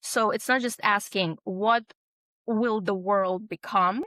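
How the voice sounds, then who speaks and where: A middle-aged woman from Russia, speaking at an unhurried, deliberate pace. She answers reflectively and leans on fillers and tag questions. The recording is dry and close. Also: mid-range voice, fairly even intonation, sharp tone, no room sound. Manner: thoughtful, frequent "um" and "right?", tag questions. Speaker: author guest. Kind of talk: conversational interview answer.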